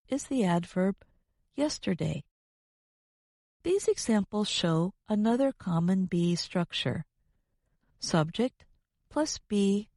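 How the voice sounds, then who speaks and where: Female educational narrator, mid-pitched, slow scripted delivery, close dry sound. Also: mellow, no echo. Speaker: grammar lesson presenter.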